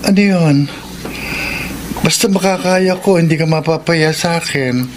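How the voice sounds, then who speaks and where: A man's voice: fairly high pitch, measured pace, noisy background, lightly acted. An actor playing a concerned, helpful male friend who offers advice in a Filipino radio drama. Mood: concerned, reassuring.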